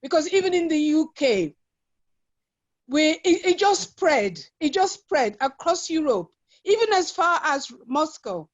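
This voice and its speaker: High-voiced woman of sixty-nine, dull lo-fi call. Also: sing-song, unhurried. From London-born, grew up in West Africa. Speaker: webinar participant. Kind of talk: personal reflection in online discussion. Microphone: video call. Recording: dull lo-fi video call audio.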